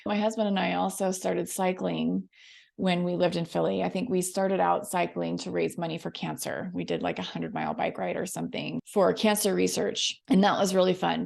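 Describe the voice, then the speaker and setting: A middle-aged American woman with a low, gravelly voice made froggy by laryngitis. She talks like a podcast host chatting to her listeners, friendly, upbeat and informal. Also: steady tone, moderate pace, a little mellow. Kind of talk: chatty solo podcast talk.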